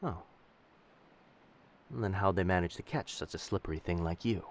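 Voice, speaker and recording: A man's voice: sits low, normal speed, slight background noise. A man playing a roguish, commanding pirate captain in a roleplay audio drama, speaking in old seafaring diction. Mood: intrigued, gentle. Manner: old seafaring diction, addresses others as lass and sir.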